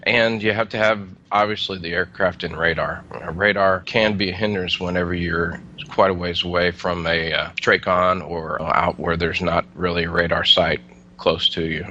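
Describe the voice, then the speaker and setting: A man giving casual, plain-spoken explanations as an interview guest, with somewhat halting delivery. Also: low voice, fairly even intonation, sharp tone, lo-fi sound, a little hiss or hum. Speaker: air traffic controller, interview guest.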